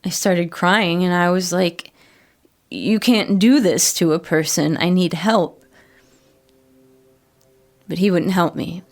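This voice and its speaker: Troubled ex-addict with creaky low voice. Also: female voice, steady tone, takes it slowly, long pauses. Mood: hurt, pleading. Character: troubled female former addict and ex-convict recounting a betrayal. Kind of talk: first-person horror audio drama monologue.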